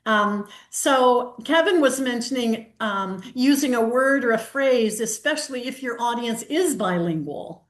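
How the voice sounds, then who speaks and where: A woman's voice: a little high, animated, measured pace, hardly any pauses. A woman hosting a casual group discussion over a video call, chatting and reading bits of a picture book aloud. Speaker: workshop host. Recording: roomy echo.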